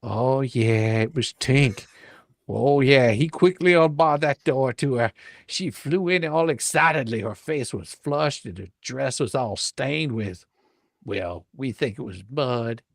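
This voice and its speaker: A man's voice: mid-range voice, slow, vocal fry, no room sound, studio quality. A man reading a storybook dramatically, with theatrical delivery and playful, folksy, ungrammatical embellishments. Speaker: reader, storyteller.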